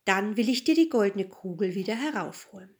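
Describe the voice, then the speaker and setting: A woman's voice: mid-range voice, expressive, a little fast, natural voice. A woman voicing a pushy, demanding, pleading male frog in a fairy tale. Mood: insistent. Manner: insistent, demanding.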